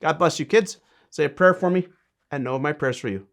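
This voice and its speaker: A man's voice: a little high, fairly quick, a bit bright. A man, a bishop, reading a children's storybook and chatting to kids with simple, encouraging asides.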